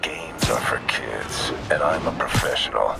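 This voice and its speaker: An actor playing a cold, calculating male mercenary in a video game, speaking in a low raspy whisper with a calm, condescending menace. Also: unhurried, loud music behind the voice, exaggerated voice. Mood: menacing calm. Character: cold professional male mercenary taunting a hero. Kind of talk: video game cutscene voice acting.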